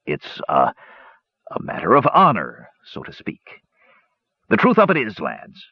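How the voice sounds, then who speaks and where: A man's voice: fairly low pitch, wildly swooping pitch, unhurried, slightly rough, no echo, dull audio, pitched above the performer's own voice, full character voice. Man voicing a sheepish dwarf gambler, swooping intonation. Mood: shamefaced. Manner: hesitant, hedging, sighing confessions with folksy turns. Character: sheepish, wheedling male dwarf gambler, smugly roguish. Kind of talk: audiobook fantasy character voice.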